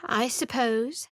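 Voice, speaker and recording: A woman's voice: a little high, a bit bright, no room sound. A woman voicing a guarded, sardonic young female cleric in a video game, with a dry, wry and secretive way of speaking. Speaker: game character voice.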